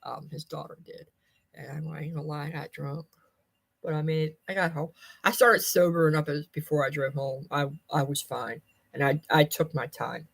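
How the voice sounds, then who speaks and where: A woman's voice: sits low, fairly even intonation, normal speed, gravelly, no echo. A woman in her fifties chatting casually to viewers with a life update, rambling in folksy wording and often repeating or correcting herself. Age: 50s. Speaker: personal vlogger giving a life update.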